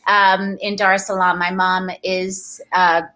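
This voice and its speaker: A woman's voice: mid-range voice, level tone, a little slow, pushed and bright. A middle-aged woman from Tanzania, an actress, chatting in an interview with frequent laughs and restarts. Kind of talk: casual interview chat.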